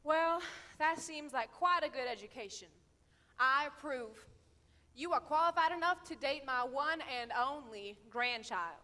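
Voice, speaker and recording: A woman as a feisty, shrill old granny. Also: animated, unhurried, sharp tone, full character voice. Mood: stern, suspicious. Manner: folksy, bossy. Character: feisty protective old granny, folksy and suspicious. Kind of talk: stage comedy fairy-tale acting.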